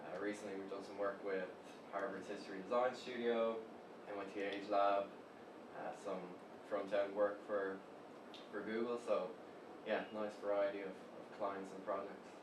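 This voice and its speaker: A man's voice: on the low side, level tone, moderate pace. A young man with an Irish accent, a guest speaking casually in an interview, easygoing and hesitant with many fillers. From Ireland. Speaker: guest, web agency co-founder. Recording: roomy, distant mic with background noise.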